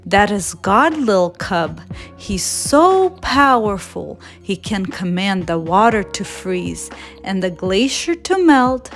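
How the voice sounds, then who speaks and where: A woman voicing a patient, loving mother bear who explains things gently in a storybook. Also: medium pitch, big pitch swings, slow pace, faint music behind. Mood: reassuring.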